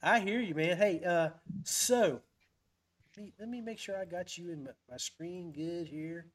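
A man's voice: high voice, measured pace. A man hosting a casual live-stream podcast in a folksy, chummy way. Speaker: podcast host.

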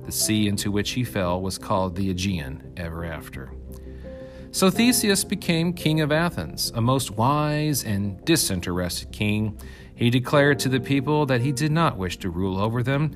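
A man with a fairly low voice narrates an old myth as a storyteller. His delivery is measured and dramatic, leaning on key phrases. Music plays clearly behind him. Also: big pitch swings. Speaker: reader, narrator.

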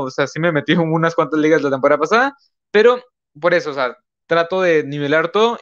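A young man hosts his podcast in a slightly high voice. He rambles casually, piling up fillers and correcting himself mid-sentence. The sound is dull and lo-fi. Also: a bit bright. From northern Mexico. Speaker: podcast host. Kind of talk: casual live-stream talk.

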